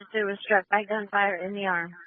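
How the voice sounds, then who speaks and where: A woman's voice: mid-range voice, fairly even intonation, brisk, no echo. Tinny dry radio signal, female police dispatcher, clipped procedural delivery, repeated instructions.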